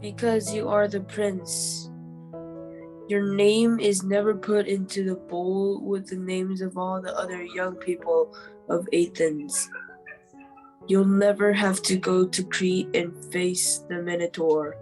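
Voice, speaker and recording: A matter-of-fact townsperson of ancient Athens, read by a woman. The delivery is slow and quite flat, in the manner of amateur radio theater, with some music behind. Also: mid-range voice, natural voice, rough recording.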